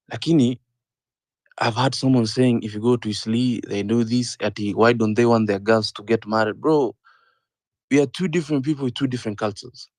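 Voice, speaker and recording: A man in his thirties with a Kenyan accent, speaking earnestly in a panel discussion, often pausing on "uh" and repeating his points. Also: on the low side, a little mellow, dry recording. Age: 30s. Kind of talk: impassioned discussion, mixing English and Swahili.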